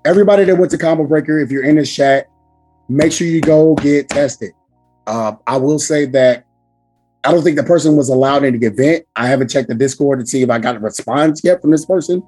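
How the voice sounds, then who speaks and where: A man hosting a casual podcast chat with a hoarse, raspy voice from screaming, talking loudly and emphatically with lots of swearing. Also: fairly high pitch, fairly quick, soft background music. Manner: loud, profane, emphatic. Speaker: podcast host.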